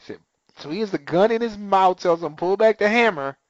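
Animated man retelling a story. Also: high-pitched, brisk, no echo, lo-fi sound. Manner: animated retelling, acting out characters' lines. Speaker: TV recap podcaster. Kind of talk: casual chat, recapping an episode.

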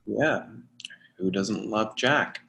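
A man playing a nervous, lovestruck guy in a play reading, speaking in a halting, earnest way. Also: on the low side, creaky, pushed and bright, exaggerated voice. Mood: earnest, reassuring. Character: Sam, nervous lovestruck man confessing to a friend. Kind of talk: readers theatre play reading.

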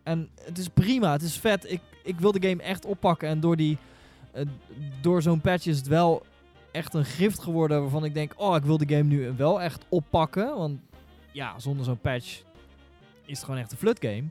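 Male panelist, lightish pitch. Casual, halting delivery, faint music behind. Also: darkish tone. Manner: hesitant, repeating phrases, informal with swearing. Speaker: podcast panelist. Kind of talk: casual chat.

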